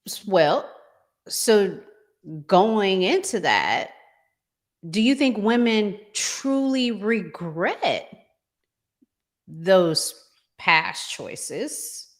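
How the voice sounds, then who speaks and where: A middle-aged American woman hosting a conversational relationship show, speaking in an emphatic, deliberate way with strong opinions. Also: mid-range voice, very animated. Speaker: podcast host.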